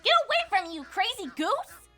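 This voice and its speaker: A woman voicing a young, bookish and easily exasperated unicorn princess, fretful and expressive, in a cartoon fan fiction audio drama. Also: very high voice, fairly quick, heavily acted. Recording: music behind the voice.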